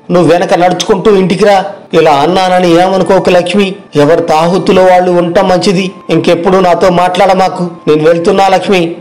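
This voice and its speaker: A man's voice: high voice, a little mellow, close to an everyday voice. A man playing an arrogant, greedy tea-stall owner in a moral story cartoon, boastful and bossy.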